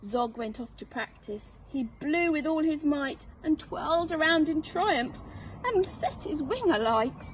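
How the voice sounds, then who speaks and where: A woman reads a children's story as a teacher would, expressively and without hurry. Her voice is high, caught a little way from the microphone with faint noise behind it. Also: expressive, measured pace. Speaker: head teacher reading aloud. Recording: distant mic, faint noise.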